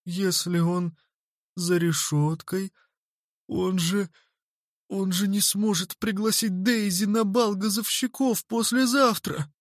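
Crestfallen storybook lad played by a male reader, high, halting, nervous. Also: fairly even intonation, dry recording, studio quality. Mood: dismayed. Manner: hesitant, gulping.

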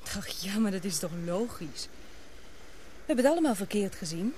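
An actress playing an earnest young woman in a radio play, hesitant, questioning and expressive. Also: medium pitch, normal speed, a little hiss or hum, lightly acted. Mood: concerned. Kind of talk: radio drama acting.

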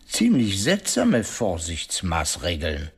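An actor playing a shrewd, courteous detective in a radio play, speaking formally and deliberately with French phrases and musing hesitations. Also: male voice, low-pitched, wildly swooping pitch, husky, exaggerated voice. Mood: methodical questioning. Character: shrewd, courteous male detective, pensive and probing.

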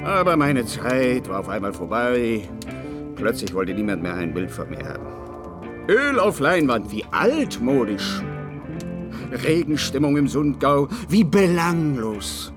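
Here is an old, drunken painter in a radio play, wounded and self-pitying. His delivery is declamatory and volatile, swinging widely in pitch over a music bed. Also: sing-song, measured pace. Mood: bitter, self-pitying. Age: older. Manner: theatrical, declamatory, emotionally volatile. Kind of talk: German radio drama acting.

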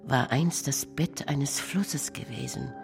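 A woman performing a poetic radio drama recitation in a hushed, contemplative, fragmentary manner. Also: very deep voice, slow pace, vocal fry. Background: music.

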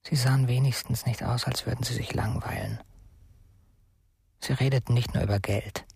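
An actor playing a wistful, reflective man in an intimate radio drama monologue, breathy and half-whispered. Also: mid-range voice, fairly even intonation, normal speed, a little mellow, no room sound.

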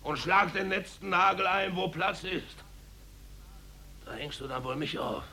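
An actor playing a drunken, bitter painter who shouts and declaims in a 1950s radio drama. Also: male voice, sits high, unhurried, full character voice. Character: drunken, bloated, bitter middle-aged painter shouting at helper. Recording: noisy old radio recording.

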